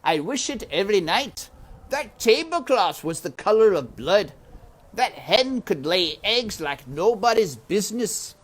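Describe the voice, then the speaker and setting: A woman voicing a gruff, mean man, a mocking guardian with scornful, uneducated rustic speech, for a storybook read-aloud. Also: mid-range voice, very animated, measured pace, pitched above the performer's own voice. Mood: bitter. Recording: windy outdoor ambience noise.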